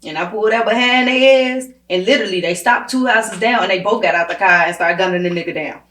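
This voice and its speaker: A woman with an American accent chatting casually as an interviewer, animated and slangy, repeating phrases for emphasis. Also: medium pitch, fast. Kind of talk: casual chat. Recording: roomy echo.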